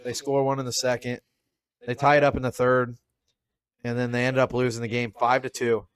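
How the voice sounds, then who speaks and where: A man co-hosting a casual podcast, rambling about his team in a loose, offhand way. His pitch sits right in the middle and he swears without thinking. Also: level tone, a little fast, clean tone. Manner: rambling sports talk, swears freely. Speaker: podcast co-host. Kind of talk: casual chat.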